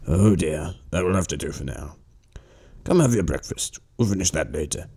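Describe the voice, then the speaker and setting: A man playing a kindly, patient old farmer in an amateur radio drama, speaking in a gentle, thoughtful, measured way. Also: low-pitched, animated, creaky, husky, full character voice. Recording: music under the voice.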